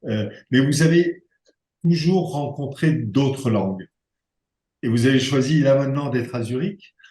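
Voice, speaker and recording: A man moderating a live panel, asking polite questions briskly. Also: mid-range voice, darkish tone. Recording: roomy echo from the venue.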